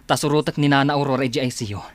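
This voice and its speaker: A man's voice: mid-range voice, steady tone, rapid-fire, no room sound, lightly acted. A man playing a kind, composed doctor in an Ilocano radio drama, speaking reassuringly. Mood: urgent. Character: kind, composed male village hospital doctor. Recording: background noise, ambient sound.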